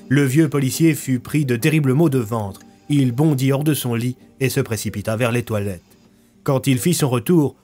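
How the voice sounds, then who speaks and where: A man's voice: medium pitch, darkish tone. A man reading scripted story narration as a storyteller.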